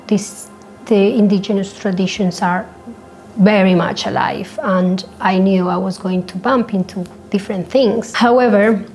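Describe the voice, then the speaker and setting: A woman with a Spanish accent speaks over music in a narrow, even pitch range. She is a native Spanish speaker, delivering a personal podcast monologue. Her manner is reflective and earnest. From born in Colombia, grew up in Spain. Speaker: podcast host.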